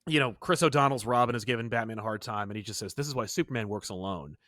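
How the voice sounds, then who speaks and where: A man's voice: lowish voice, fast, a bit bright, no room sound, studio quality. A man hosting a podcast in casual chat, joking and turning theatrical when he quotes people. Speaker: podcast host.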